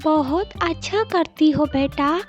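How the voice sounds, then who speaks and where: A woman's voice: high voice, takes it slowly. A woman voicing a frail, trusting old mother eagle with a motherly, approving manner. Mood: gentle approval. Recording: muffled lo-fi, children's music behind.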